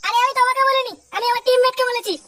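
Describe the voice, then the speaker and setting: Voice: fairly even intonation, rapid-fire, slight background noise. পিচ বাড়ানো গলায় একজন অভিনয়শিল্পী কার্টুন কমেডির এক অলস, ঘ্যানঘেনে, গালিবাজ তরুণ ছেলের চরিত্র করছে।. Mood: irritated grumbling. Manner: brash, grumbling, crude slangy swearing. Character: whiny foul-mouthed slacker young son, reluctant bridegroom. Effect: pitched-up cartoon voice. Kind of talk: exaggerated cartoon comedy dialogue. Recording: distant sound under loud music.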